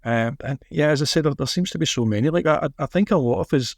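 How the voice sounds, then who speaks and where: A man's voice: a little high, quick pace, creaky, a little mellow, no echo, clean studio sound. A man hosting a podcast interview in a conversational way. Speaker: podcast host.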